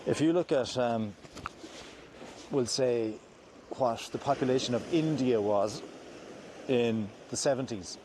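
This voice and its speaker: An Irish man vlogging outdoors while he walks, giving his views in an earnest, measured way, often restarting his sentences. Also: medium pitch, noisy background. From Ireland. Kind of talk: walking vlog, opinion talk. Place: outdoors on slippery ground near a stream.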